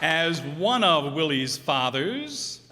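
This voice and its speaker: A man hosting a live show, informally addressing the audience in a halting, unscripted way. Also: on the high side, sing-song. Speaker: host introducing the cast. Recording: echoey hall, audience, background noise.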